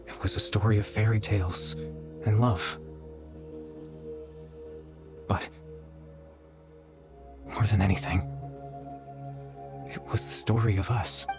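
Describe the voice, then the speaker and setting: An actor playing a gentle, weary young man in a video game, speaking in a soft, measured, wistful way. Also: on the low side, steady tone, music in the background. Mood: wistful, tender. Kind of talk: dramatic video game dialogue.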